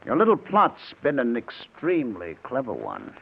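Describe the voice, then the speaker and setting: An actor playing a scheming, vengeful husband who feigns madness, with sardonic, theatrical menace in an old-time radio mystery. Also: male voice, medium pitch, wildly swooping pitch, moderate pace, creaky. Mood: cold menace. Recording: tinny, noisy old radio recording.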